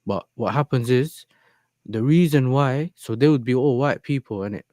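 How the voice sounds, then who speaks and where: A man's voice: mid-range voice, normal speed, mellow, no room sound, studio quality. A man who grew up in the Netherlands, telling a story in casual chat and checking in with yeah and innit. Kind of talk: casual chat on a live stream.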